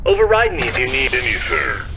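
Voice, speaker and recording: An actor playing a literal-minded robot co-pilot in a sci-fi audio drama, earnest and fussy, with stiff, precise wording. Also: male voice, mid-range voice, animated, a little fast, heavy background noise, natural voice. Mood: polite.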